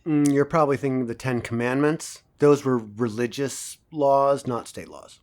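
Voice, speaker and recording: A man performs an assured professor of a dystopian future in an audio drama. His mid-pitched voice lectures in a measured, didactic way, with dry wit in the delivery. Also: darkish tone, close to an everyday voice. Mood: didactic, wry. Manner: didactic, measured, dryly wry.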